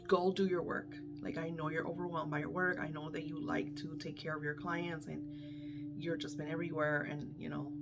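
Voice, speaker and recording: A woman's voice: low-pitched, level tone, moderate pace, gravelly. Candid, swearing woman coach over music. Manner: candid and emphatic, swears freely. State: losing her voice. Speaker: manifestation coach. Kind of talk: casual unscripted talk to viewers.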